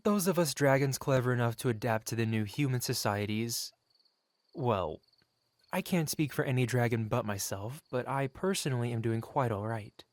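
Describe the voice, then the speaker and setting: A suave dragon in human guise , acted by a man, rich and possessive. He speaks in a mid-pitched voice with courtly, self-satisfied eloquence, as in an intimate ASMR roleplay. The sound is close and very dry. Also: moderate pace, dry recording, lightly acted. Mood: smug, reminiscing. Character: wealthy possessive dragon merchant in human form, suave, covetous. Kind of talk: ASMR roleplay monologue, theatrical storytelling.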